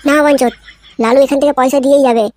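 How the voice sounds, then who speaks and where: A man voicing a brash, quarrelsome cartoon buddy in slangy speech, with a pitched-up effect. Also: fairly even intonation, rapid-fire, slight background noise. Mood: angry. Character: foul-mouthed, quarrelsome young male cartoon buddy. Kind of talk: comedy cartoon dialogue. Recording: music bed under the dialogue. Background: music.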